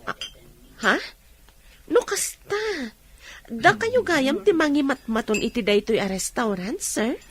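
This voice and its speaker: A woman's voice: high-pitched, lively intonation, measured pace, long pauses, fairly bright tone, a little hiss or hum. A woman acting a friendly, concerned loyal friend in an Ilocano radio drama. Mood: polite.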